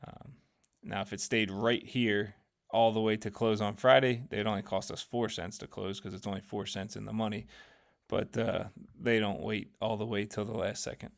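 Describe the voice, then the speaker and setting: A man walks through his fund's daily trades in a loose, rambling recap, his voice low, gravelly and fairly flat. He keeps stopping to correct himself and fill gaps with ums. His voice is getting hoarse as he goes. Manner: conversational, rambling, many fillers and self-corrections. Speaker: finance channel host. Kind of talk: casual screen-share market recap.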